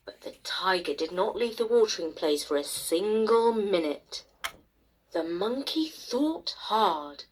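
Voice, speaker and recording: A woman storyteller narrating a bedtime tale expressively, with dramatic pauses and emphasis. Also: medium pitch, expressive, poor audio. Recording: faint noise behind the voice.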